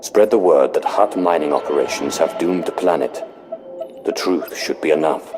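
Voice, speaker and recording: An actor playing a commanding, grim dark lord in a video game, speaking with measured authority. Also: male voice, a bass voice, music in the background. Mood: cold, calculating. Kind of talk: scripted video game dialogue.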